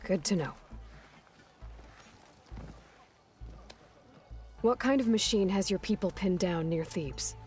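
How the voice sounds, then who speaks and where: An actress voicing a blunt, self-reliant young huntress in a video game, with a dry, understated delivery. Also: female voice, medium pitch, lively intonation, moderate pace, darkish tone, faint music behind, close to an everyday voice. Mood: guarded. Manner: dry, understated, matter-of-fact. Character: guarded, blunt, self-reliant young female machine hunter. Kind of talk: naturalistic video game acting.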